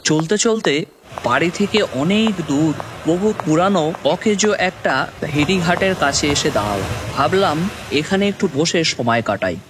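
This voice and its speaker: A man's voice: on the high side, expressive, unhurried, noisy background. A man playing a dejected, jobless young son who narrates his own cartoon story. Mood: melancholy narrating. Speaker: narrator and lead character. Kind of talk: first-person dramatic story narration. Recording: music bed under voice.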